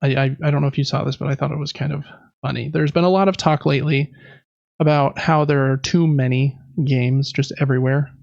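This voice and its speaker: A man's voice: mid-range voice, fairly even intonation, brisk, gravelly, darkish tone, dry recording, muffled recording. A man hosting a podcast, chatting informally and with enthusiasm about games. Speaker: podcast host. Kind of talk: casual gaming podcast chat.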